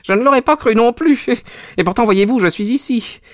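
A man's voice: very high voice, animated, brisk, no echo, studio quality, voice pushed up. A man voicing a cruel, gloating villain in an audiobook, with a mocking, mock-polite way of talking. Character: sneering, gloating villain, a cruel male visitor. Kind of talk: audiobook character acting.

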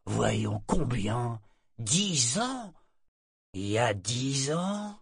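A man's voice: mid-range voice, sing-song, extremely slow, gravelly, slightly rough, dry recording, studio quality, full character voice. A man voicing a drunken, gap-toothed old sailor who rambles and slurs his words. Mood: boozy, rambling. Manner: slurred, mumbling drunken talk.

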